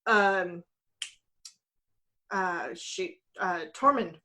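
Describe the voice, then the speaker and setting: A woman's voice: fairly low pitch, extremely slow, pushed and bright. Enthusiastic woman in casual podcast chat. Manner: enthusiastic fan, informal. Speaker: podcast co-host. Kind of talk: casual chat about games and films.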